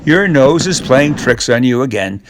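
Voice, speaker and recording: A man's voice: mid-range voice, expressive, moderate pace, noisy background, natural voice. A man voicing an impatient, urgent woman, the wife of a giant, in a children's storybook.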